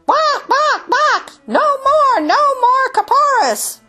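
A flock of excitable storybook hens, very high and shrill. They cluck and exclaim in wildly swooping pitch, with music behind. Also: female voice, slow pace, full character voice. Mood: excited, defiant. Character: flock of excitable squawking barnyard fowl. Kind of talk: storybook character voice.

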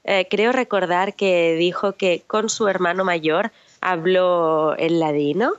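Woman on the radio, kinda low smooth voice, relaxed explaining, dry dull lo-fi sound. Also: takes it slowly, no echo. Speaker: radio programme presenter. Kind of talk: conversational radio chat.

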